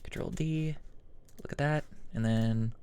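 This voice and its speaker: A man with a mid-range voice hosts a casual software tutorial. He talks informally and haltingly, thinking aloud as he works through the steps. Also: medium pitch, clean tone. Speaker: tutorial host.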